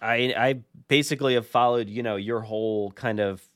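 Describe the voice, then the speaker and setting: A man with a mid-pitched voice hosts a casual podcast in a close, dry recording. He speaks informally and haltingly, thinking his way through sentences aloud. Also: fairly even intonation, fairly bright tone, clear, resonant tone, dry recording. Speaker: podcast host.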